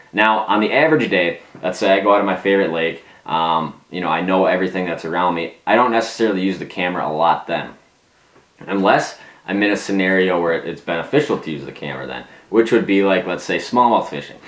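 A man in his thirties giving a casual, informal explanation to camera as a video host. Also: low voice. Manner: informal, conversational. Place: small domestic room at a table, indoors. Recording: roomy echo, music behind.

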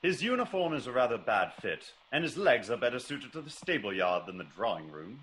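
An actor playing a shrewd, self-assured gentleman detective in a classic radio drama, brisk and incisive. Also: medium pitch, sing-song. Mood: dry, probing. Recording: noisy old radio recording with clicks.

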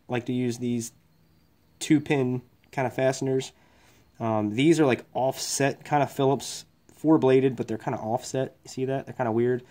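A man's voice: medium pitch, a little mellow. A man, an electrician, talking casually and frankly about his tools while he shows them on a workbench, rambling and swearing now and then. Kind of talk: casual tool review. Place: workshop bench, indoors.

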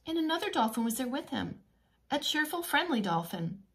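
A woman preschool teacher reading a children's picture book aloud in an expressive, teacherly way with simple asides. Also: sits high, a little fast, a little hiss or hum.

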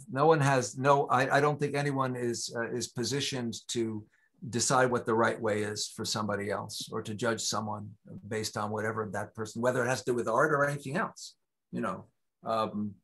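A man's voice: mid-range voice, husky. A man in his sixties, a guest lecturer, answering questions on a video call from a home study, talking in long discursive stretches with frequent restarts. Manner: discursive, many restarts, animated. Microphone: video call webcam.

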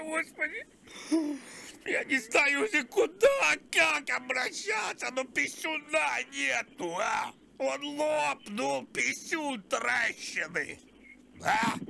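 A man's voice: very high voice, gravelly, pushed and bright, a little hiss or hum, exaggerated voice. A man voicing a crude, whiny old pensioner on a prank call, pestering and rambling in a strained, plaintive voice. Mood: pained, distraught. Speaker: prank caller. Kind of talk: prank phone call improvisation.

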